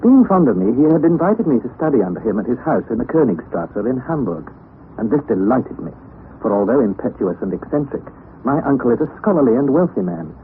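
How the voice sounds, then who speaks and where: An actor with an English accent narrating as an earnest young student nephew in a vintage radio drama. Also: male voice, medium pitch, lightly acted. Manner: earnest, wondering storytelling. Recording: tinny lo-fi vintage radio broadcast with hiss.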